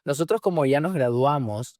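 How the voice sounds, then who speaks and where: A man in conversation, explaining step by step as he goes, often restarting phrases and filling pauses. His voice sits at an ordinary middle pitch. The recording is dry and close, with no room echo. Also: lively intonation, darkish tone. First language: Spanish. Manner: explanatory, frequent restarts and fillers. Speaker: guest, surgery resident in the US. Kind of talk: conversational interview answers.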